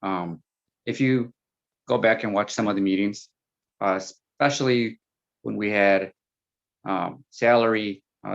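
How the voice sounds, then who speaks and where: A man whose first language is Spanish, a school board candidate speaking frankly in a panel Q&A with halting fillers. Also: on the low side, creaky. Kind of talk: panel Q&A answer. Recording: dull lo-fi sound.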